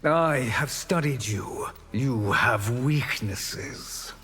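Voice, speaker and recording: Very slow, sly scheming sorcerer. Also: male voice, mid-range voice, expressive, bright, forceful tone, slightly rough, exaggerated voice. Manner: insinuating, drawn-out. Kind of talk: video game voice acting.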